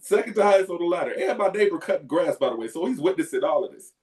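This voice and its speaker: A man casually telling a profane, animated story as a podcast co-host. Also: high-pitched, very fast. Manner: animated, profane, loud yelps when acting out.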